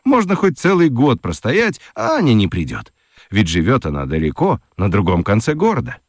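A man narrating a scripted children's radio story as an expressive storyteller. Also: mid-range voice, normal speed, no echo, clean studio sound.

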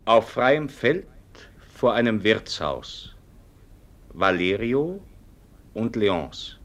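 Male radio play narrator, lowish voice, extremely slow delivery with expressive melody. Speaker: narrator reading stage directions.

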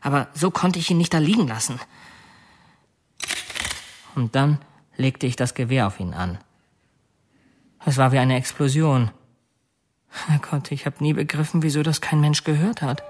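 Actor as brooding killer confessing in halting detail. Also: male voice, on the high side, background noise, lightly acted. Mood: grim, confessing. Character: confessing male killer, bitter, brooding, oddly soft-hearted. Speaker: actor narrating as a murderer. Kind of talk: radio drama confession monologue.

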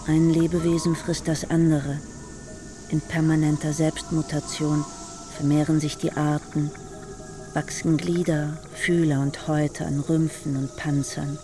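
An actor playing a solemn male speaker who declaims to mankind. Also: fairly high pitch.

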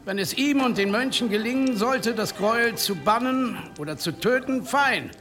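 A man acting an emphatic village headman in a German radio drama, firm and urging calm with authority. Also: very high voice, normal speed. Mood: firm, commanding. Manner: emphatic, declamatory.

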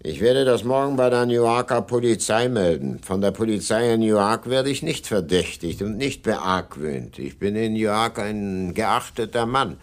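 An actor in a German radio crime drama playing an indignant, respectable murder suspect who speaks formally and curtly, with menace. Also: male voice, on the low side, animated, normal speed, few pauses, close to an everyday voice.

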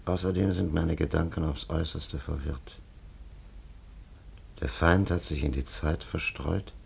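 Gravelly, grandiose time-travelling warrior commander. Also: male voice, rumbling low, a little mellow, heavily acted. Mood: weary, subdued. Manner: solemn, declamatory, exalted. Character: grandiose undead warrior commander from another age, fearless, lofty. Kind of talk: German radio play acting.

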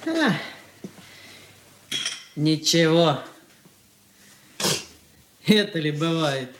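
An actress playing an unflappable, worldly household servant in a Soviet radio drama. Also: female voice, sits low, sing-song, very slow, slight background noise, heavily acted. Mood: consoling, gossipy.

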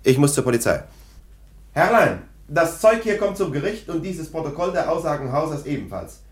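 An actor playing a brusque, skeptical police lieutenant in a German radio play, officious and sardonic. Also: male voice, on the high side, sing-song, normal speed, breathy edge. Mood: brisk, commanding. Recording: noisy radio drama recording.

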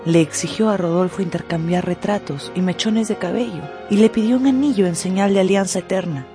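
A narrator telling a literary story in a measured, dramatic radio style. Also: mid-range voice, dark tone. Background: music.

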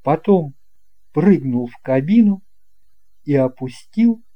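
A man narrating a children's tale as an audiobook reader, in a measured, expressive way. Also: a little high, dull audio. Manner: slow, measured, expressive reading.

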